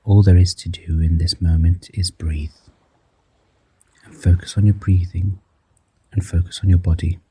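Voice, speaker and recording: A man guiding a breathing meditation in a slow, soft-spoken manner, counting the breaths aloud and dropping some counts to a whisper. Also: low voice, little pitch movement, husky. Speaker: meditation guide.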